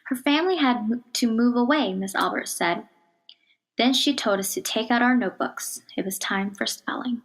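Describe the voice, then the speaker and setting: A woman with a fairly high voice reads a children's picture book aloud. Her storytelling is measured and expressive, with some phrases softened toward a hush. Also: rough recording. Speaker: storybook reader.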